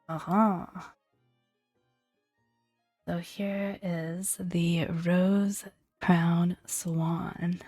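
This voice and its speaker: A woman speaking softly and gently in a breathy near-whisper, narrating a video game as ASMR. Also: on the low side, no room sound. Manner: gentle, hushed delivery. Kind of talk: soft-spoken ASMR video game commentary. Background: soft background music.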